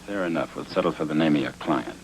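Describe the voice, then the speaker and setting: An actor playing a smug, menacing gang boss, taunting and wry, in 1940s film noir style. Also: male voice, a bass voice, fast talker, a little hiss or hum. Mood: coaxing menace. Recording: old film soundtrack with music underneath.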